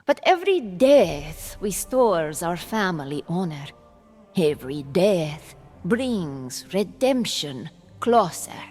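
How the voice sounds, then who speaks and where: A woman's voice: on the low side, big pitch swings, slow, a little mellow, exaggerated voice. A woman playing a proud, cold noblewoman duelist in a fantasy audio drama, with a formal, imperious delivery. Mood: grim, resolute. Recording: long hall reverb over music.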